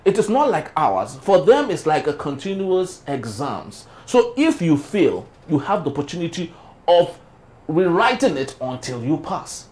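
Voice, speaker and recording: A man's voice: a little high, sing-song, a little slow, darkish tone. A man with a Nigerian accent discussing issues conversationally as a talk show panelist.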